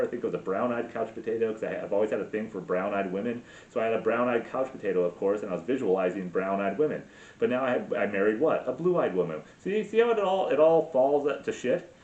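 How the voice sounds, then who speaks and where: A man in his forties talks to a camera alone in his garage, swearing freely and mocking himself. His voice jumps around a lot in pitch. The roomy, echoing sound has a dull, lo-fi edge. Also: darkish tone. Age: 40s. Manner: profane, self-mocking, rambling. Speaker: podcast host. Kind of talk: solo rambling monologue. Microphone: camera mic.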